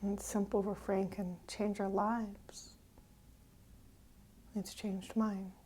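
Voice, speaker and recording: A woman meditation teacher leading a guided meditation gently, with pauses, often trailing off into a breathy near-whisper. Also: mid-range voice, little pitch movement. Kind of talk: guided meditation, unhurried and instructive.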